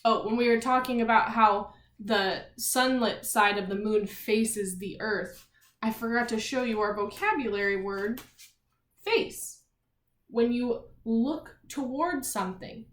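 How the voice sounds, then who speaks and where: Slow-paced woman teacher reading to children. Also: a little high. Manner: simple, explanatory, thinks aloud and poses questions to young pupils. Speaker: primary school teacher. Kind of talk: children's read-aloud lesson with explanations. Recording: echoey room.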